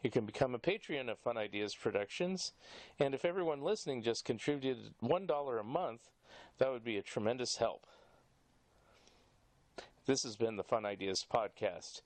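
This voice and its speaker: A man with a medium-pitched voice hosts a podcast in an informal, conversational way. He is given to small chuckles between his phrases. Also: animated, moderate pace. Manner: chuckles often. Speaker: podcast host. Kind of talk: casual interview with sign-off.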